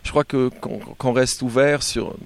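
A man being interviewed as a band singer, chatting casually and haltingly, often repeating words as he goes. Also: medium pitch, steady tone, clean tone. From born in Algeria.

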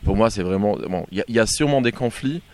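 A man being interviewed as a band singer, chatting casually and haltingly, often repeating words as he goes. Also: lowish voice, lively intonation, a little mellow. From born in Algeria.

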